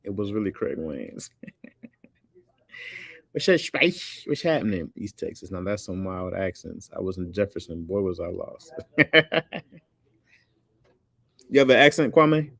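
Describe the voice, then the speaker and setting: Man, very deep voice, casual streamer chat, laid-back, laughs a lot, dry close sound. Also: big pitch swings, measured pace, creaky, pauses often, fairly bright tone, no room sound. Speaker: livestream host reading chat.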